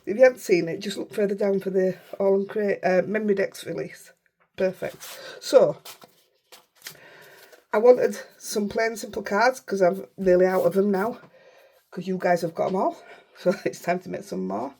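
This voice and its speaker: A middle-aged woman with a Yorkshire accent, hoarse and unwell, chatting through a casual crafting tutorial in a croaky voice and rambling as she goes. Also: mid-range voice, fairly even intonation, normal speed, dark tone. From Yorkshire, England. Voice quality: croaky, rough voice. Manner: chatty, rambling, self-interrupting. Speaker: craft channel host.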